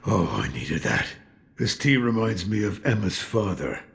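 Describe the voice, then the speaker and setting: An actor playing a gruff, weary old retired shinobi in a video game, with a gravelly voice. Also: male voice, lowish voice, very animated, measured pace, full character voice. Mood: reflective. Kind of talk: dramatic video game dialogue.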